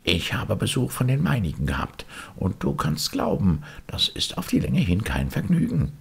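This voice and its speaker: A man's voice: fairly low pitch, huge pitch swings, normal speed, vocal fry, slightly rough, voice pushed up, exaggerated voice. A man voicing a small, lonely, restless tin soldier from a storybook, in a plaintive, lamenting and theatrical way. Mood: wistful, chattering. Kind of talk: storybook character voice.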